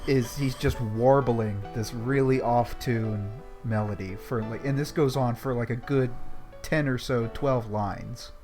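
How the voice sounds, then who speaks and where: A man's voice: mid-range voice, fairly even intonation, a little slow, few pauses, a little mellow. Man, podcast co-host, mid-range voice, jokey riffing, irreverent, casual swearing, distinct background music. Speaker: book club podcast co-host. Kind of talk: casual chat with comic riffing.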